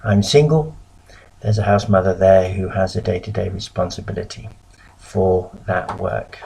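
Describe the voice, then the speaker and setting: A middle-aged man from the UK giving a recorded lecture as a missionary, measured and pausing often. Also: deep voice, steady tone, gravelly, mellow, slightly rough, poor audio. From United Kingdom.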